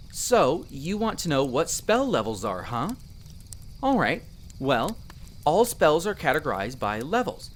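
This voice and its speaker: A man voicing a friendly, eager young elf explorer in a roleplay audio drama, chatting playfully and explaining patiently to the listener. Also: fairly high pitch. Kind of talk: in-character conversational roleplay addressed to the listener.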